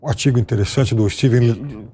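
A man's voice: medium pitch, a little fast, dark tone, husky. A middle-aged man commenting on a TV news panel in a studio, thoughtful and hesitant with restarts. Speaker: political commentator.

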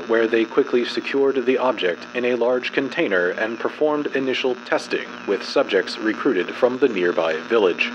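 A man narrating a horror fiction file as a dramatic reading, in a formal, clinical, measured delivery. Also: mid-range voice, dull audio, background noise.

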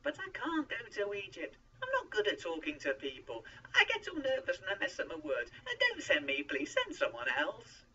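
A man's voice: extremely high pitch, huge pitch swings, normal speed, creaky, hardly any pauses, bright, forceful tone, far from the mic, muffled recording, slight background noise, pitched above the performer's own voice, heavily acted. A man voicing a frightened, reluctant shepherd who stammers and protests in a dramatized Bible story. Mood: pleading. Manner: stammering, exclaiming, pleading.

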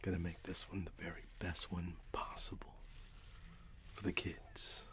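A middle-aged man playing a friendly, wistful candy shop owner in a gentle, hesitant ASMR roleplay whisper. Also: low-pitched, little pitch movement, measured pace, heavily acted.

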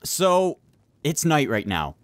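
A man's voice: fairly high pitch, very animated, takes it slowly, no room sound. A man hosting a gaming video, chatting casually and playfully through multiplayer roleplay gameplay.

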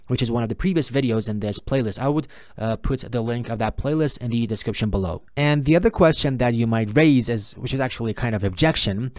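A man whose first language is Persian, giving a screen-recorded software tutorial at his computer, explaining steps patiently with many "for example" and "uh" fillers. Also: mid-range voice, no room sound, studio quality. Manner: explanatory, repetitive, frequent "uh" and "for example". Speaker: tutorial host.

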